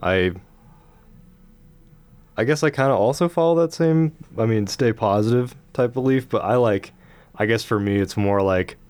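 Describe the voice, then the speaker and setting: A man's voice: on the low side, moderate pace, long pauses, a little mellow. Laid-back young man hosting college radio. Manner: laid-back, casual, filler-heavy. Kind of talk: casual radio interview. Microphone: studio microphone.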